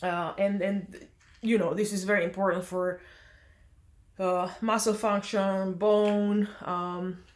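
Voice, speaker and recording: A woman's voice: mid-range voice, level tone, slow. A young woman from Romania, chatting casually in a vlog, hesitant with many fillers and self-corrections. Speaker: vlogger.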